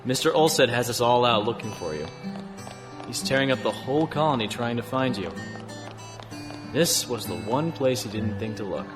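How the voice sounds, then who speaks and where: An actor playing a calm, thoughtful male starship captain, measured and understated, in a sci-fi audio drama. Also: medium pitch, over music, lightly acted. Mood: gentle.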